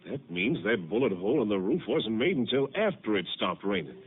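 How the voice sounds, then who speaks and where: A man's voice: lowish voice, very animated, a little fast. A man acting a puzzled country sheriff in a 1950s radio drama, with a rural Western American accent and a folksy, plain-spoken manner. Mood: baffled. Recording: noisy, narrow-band old radio recording.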